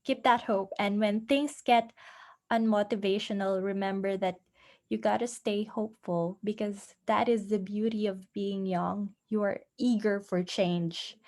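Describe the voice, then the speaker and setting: A woman's voice: mid-range voice, steady tone, a little slow, a little mellow. A woman encouraging an online audience at the close of her talk, repeating key words for emphasis. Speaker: webinar speaker. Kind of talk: closing advice in a talk.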